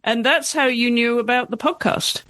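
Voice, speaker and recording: A woman's voice: a little high, normal speed, dry recording. A woman hosting an interview podcast, rambling through her introduction and correcting herself. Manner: warm-up chatter, rambling, self-correcting. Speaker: podcast host.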